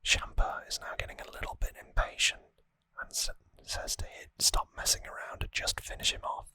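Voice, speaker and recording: An ASMR host whispering an explanatory recap in a hushed, unhurried manner, close to the microphone.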